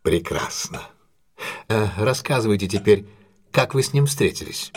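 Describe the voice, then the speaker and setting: An actor in an audio drama playing a shrewd, commanding gentleman detective, husky, courteous and intense, with theatrical deliberate delivery. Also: lowish voice, expressive. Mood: probing, stern. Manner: theatrical, authoritative, deliberate questioning.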